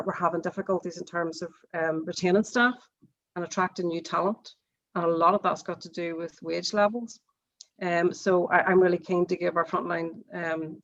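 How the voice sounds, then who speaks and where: A woman's voice: fairly low pitch, rough recording. A woman from Northern Ireland with a Northern Irish accent, a charity chief executive introducing herself on a panel, hesitant with many fillers and restarts. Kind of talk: panel introduction on a video call.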